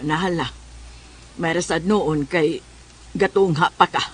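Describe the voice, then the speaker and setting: A woman's voice: sits low, unhurried, husky. A woman with a Cebuano Filipino accent playing a nosy, teasing confidante in a radio drama. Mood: inquisitive.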